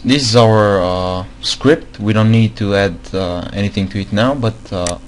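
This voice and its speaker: A man with a non-native accent walks through a game-modding tutorial at a slow, unhurried pace. His voice sits a little low, and he stops often for fillers and restarts. A faint background hum runs under his desktop mic. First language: not English. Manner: hesitant, many fillers, repeats himself. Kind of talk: screen-recorded tutorial.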